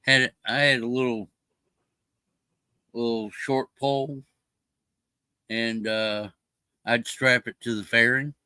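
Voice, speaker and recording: A man's voice: mid-range voice, fairly even intonation, slow, long pauses, bright, forceful tone, clean tone, dry recording. An older man chatting casually on a livestream, rambling with many fillers and restarts. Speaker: livestream co-host. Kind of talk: casual chat.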